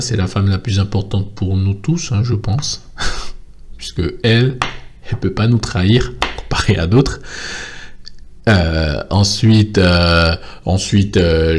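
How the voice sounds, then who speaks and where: A man's voice: deep voice, a little mellow. A young man from French Guiana talking casually to his viewers, rambling with many fillers and restarts. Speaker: vlogger. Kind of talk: casual monologue to camera.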